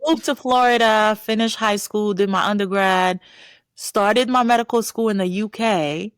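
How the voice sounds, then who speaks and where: A woman physician guest from Haiti, telling her story in a relaxed interview chat and laughing easily. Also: medium pitch, moderate pace, smooth, no echo.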